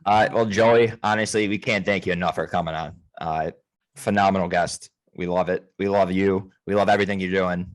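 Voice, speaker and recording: Low-voiced man with a Chicago accent. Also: steady tone, brisk. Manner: laid-back, laughs easily. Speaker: podcast co-host. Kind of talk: casual interview chat.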